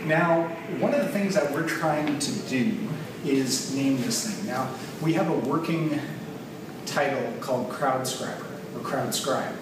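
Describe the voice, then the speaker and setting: A man's voice: mid-range voice, a little slow. A man in his thirties with a Canadian accent, giving an informal, explanatory presentation and thinking aloud in a conversational way. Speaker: software developer presenting a product. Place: large open office loft, indoors. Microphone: camera mic a few metres away. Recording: echoey open room, distant camera mic, noisy. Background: clear background room noise.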